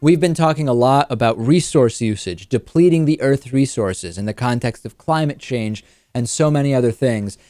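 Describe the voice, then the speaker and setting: Male American talk host, mid-range voice, measured and articulate commentary, close dry recording. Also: medium pitch, dark tone, no room sound. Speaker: talk show host and interviewer. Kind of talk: conversational political commentary.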